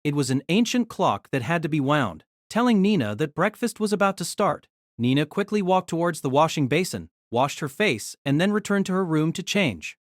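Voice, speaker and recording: A man narrating a novel in an audio drama, steady storytelling with lively inflection. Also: fairly high pitch, no room sound, studio quality.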